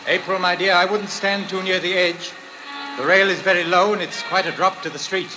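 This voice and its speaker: An actor playing a courtly, vengeful strangler in a theatrical 1940s radio style. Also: male voice, high-pitched, fairly even intonation, a little fast, big-room sound, over music, natural voice. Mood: suave, ominous. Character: menacing, vengeful male strangler, suave and deliberate, in a stage thriller. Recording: noisy vintage radio recording, loud sizzling hiss.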